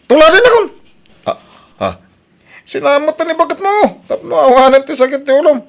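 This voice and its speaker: A man's voice: extremely high pitch, lively intonation, moderate pace, creaky, exaggerated voice. A male actor playing a troubled, self-pitying husband who drinks, in an Ilocano radio drama, speaking with an Ilocano accent. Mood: tipsy, boastful.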